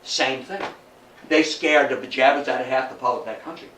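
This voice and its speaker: A man's voice: mid-range voice, steady tone, brisk, fairly bright tone. An older American man giving an informal history lecture, telling stories in a folksy, emphatic way to a live audience. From United States. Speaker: guest lecturer on old-time radio history. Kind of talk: informal public lecture with anecdotes. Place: indoor talk to a live audience at a historical society. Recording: roomy, distant mic with faint noise.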